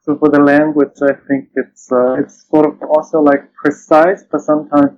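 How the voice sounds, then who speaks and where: Halting, thoughtful man, dull lo-fi recording. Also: a little high, little pitch movement, a little mellow. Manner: halting, thoughtful, often says "you know". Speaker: interview guest, literary translator. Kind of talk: reflective interview conversation.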